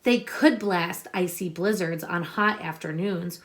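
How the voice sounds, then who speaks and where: A woman teacher reading a picture book aloud to children, expressive and instructive, pausing to explain and ask questions. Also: fairly low pitch. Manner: deliberate, instructive, addressing young listeners with questions.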